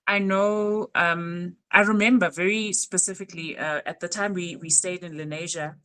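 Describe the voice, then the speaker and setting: A woman in her thirties with a South African accent, co-hosting a casual podcast chat and telling stories with animated emphasis. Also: lowish voice, moderate pace. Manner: animated storytelling with isiZulu words mixed in. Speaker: podcast co-host.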